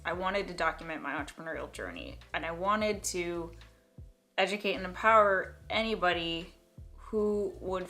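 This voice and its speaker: A woman's voice: lowish voice, pushed and bright. A young woman vlogger thinking aloud in a reflective monologue, hesitating, restarting and trailing off. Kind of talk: reflective talking-head vlog monologue.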